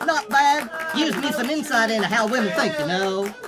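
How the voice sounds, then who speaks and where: A man's voice: very high voice, lively intonation, normal speed, loud music behind the voice. A man voicing a crude, cocky male heckler toy, mocking and brash, in a comic puppet film. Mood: smug, mocking.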